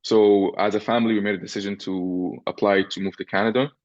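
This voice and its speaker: Young guy from syria, deepish voice, keeps saying right?, kinda muffled lo-fi mic. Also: fairly even intonation, quick pace, dry recording. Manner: explains step by step, often tags "right?". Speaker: podcast guest, entrepreneur. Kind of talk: casual interview chat.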